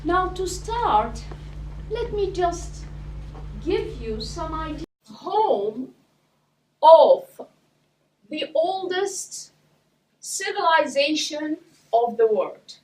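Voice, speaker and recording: Slow-speaking woman, high voice, distant mic. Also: very animated, a little mellow, slight background noise. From Iraq. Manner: slow and deliberate with pauses between phrases. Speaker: club speaker. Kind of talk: prepared speech to an audience.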